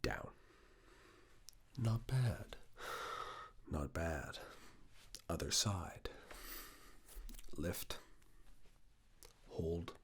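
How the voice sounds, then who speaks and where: Very deep, breathy man acting as a stern assessor. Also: lively intonation, very slow, pauses often, heavily acted. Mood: firm, focused. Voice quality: breathy, gravelly. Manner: hushed, clipped commands, often slipping into whispers. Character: stern, controlling yet reassuring male fitness assessor. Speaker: ASMR performer. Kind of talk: ASMR personal attention roleplay.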